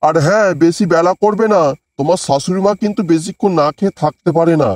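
A man's voice: high voice, quick pace, a little mellow, dry recording, close to an everyday voice. A man voicing a fussy, two-faced older father-in-law in a comedy cartoon. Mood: coaxing. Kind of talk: Bengali comedy cartoon dubbing. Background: background music.

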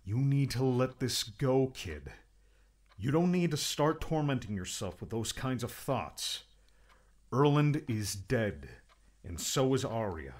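A man's voice: mid-range voice, very animated, takes it slowly, darkish tone. A man acting a stern, exasperated friend in an audiobook, blunt, scolding and insistent. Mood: stern warning.

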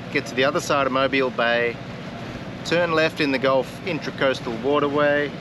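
Deadpan man chatting in a casual vlog. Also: on the high side, noisy background. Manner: dry, deadpan humour. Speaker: vlogger on a sailing channel. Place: outdoors, on a sailboat deck and around town.

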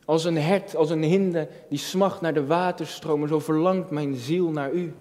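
A man's voice: on the high side, steady tone, normal speed, a little mellow. A man in his early thirties preaching a personal, reflective sermon, earnest, repeating phrases for emphasis and asking rhetorical questions. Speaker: junior pastor. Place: indoor church stage at a lectern, filmed from a distance. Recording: long hall reverb.

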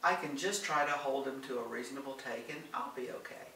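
A man's voice: mid-range voice, brisk, creaky, bright, forceful tone, roomy sound, recorded from a distance, a little hiss or hum. A man reading the part of a worried, proud man in a storybook, reluctant and pleading. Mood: guarded. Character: worried, proud man hiding a troubled past.

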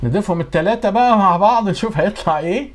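An Egyptian man in middle age teaches audio production in a casual tutorial style. His voice sits a little high and he talks very quickly, recorded in a small home studio. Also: music in the background. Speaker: audio engineering instructor.

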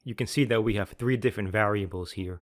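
A man reading scripted instructional narration in a measured, clearly enunciated, explanatory way. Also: fairly low pitch. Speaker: software tutorial narrator.

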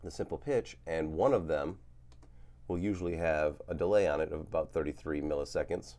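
A man in his forties, a guitar channel host, talking casually through a gear demo in a relaxed, rambling way with lots of fillers and a lingering cough. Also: very deep voice, brisk. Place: home studio with guitars on wall.

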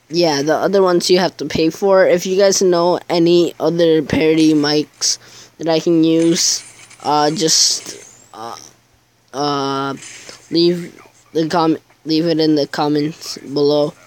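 A man's voice: fairly high pitch, fairly even intonation, slow pace, darkish tone, smooth. A teenage boy chatting casually over his gameplay video, rambling and hesitant, with many fillers. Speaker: gaming YouTuber.

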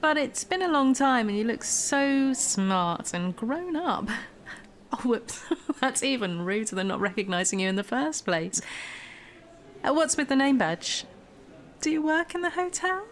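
A woman's voice: high voice, very animated, measured pace, a little hiss or hum. Poised, polished young woman. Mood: apologetic, then guarded. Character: polished, evasive young female finance professional. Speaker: actor in an audio drama. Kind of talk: naturalistic audio drama acting.

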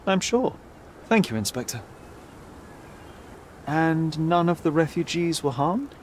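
An actor playing a self-assured young detective in a video game, articulate, polite but pointed and persuasive. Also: male voice, a little high, very animated, slow, slight background noise. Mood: polite, composed. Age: young adult. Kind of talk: video game cutscene acting.

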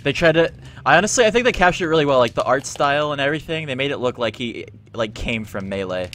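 A man's voice: mid-range voice, animated, fast talker. Young male gamer, goofy trash-talker, bouncy voice over a desk mic. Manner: loud, teasing, laughing trash talk. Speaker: gaming channel host. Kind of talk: casual gameplay banter. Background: game music.

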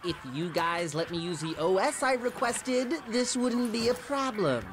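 An actor playing a chatty young American office programmer in a video game, rambling and grumbling in jargon. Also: male voice, high voice, lively intonation, a little slow, noisy background. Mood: casual, confiding. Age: young adult. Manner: rambling, jargon-heavy, slacker-like. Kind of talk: video game cutscene acting.

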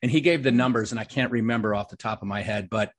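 A middle-aged man hosts a podcast with easy, upbeat enthusiasm, chatting freely and quick to agree. His voice has a husky edge and sits at an ordinary pitch. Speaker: podcast host. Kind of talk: casual conversational interview.

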